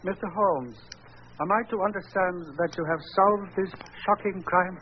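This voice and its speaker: An actor playing a dignified, sardonic Chinese prince in 1940s radio drama, speaking in a formal, flowery manner. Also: male voice, high voice, steady tone, normal speed, loud music behind the voice, close to an everyday voice. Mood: politely sceptical. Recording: muffled, hissy old radio broadcast.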